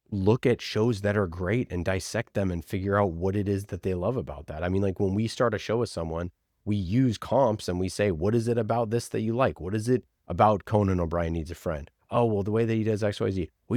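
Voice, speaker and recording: A man's voice: fairly low pitch, dark tone, dry recording, clean studio sound. A man talking casually as an interview guest and podcast producer, giving emphatic, opinionated advice and acting out imagined exchanges.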